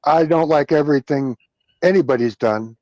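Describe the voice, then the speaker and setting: A man's voice: a little high, brisk, darkish tone, dry recording, poor audio. Blunt, emphatic man with a dry sound. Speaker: panelist. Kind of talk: casual political chat.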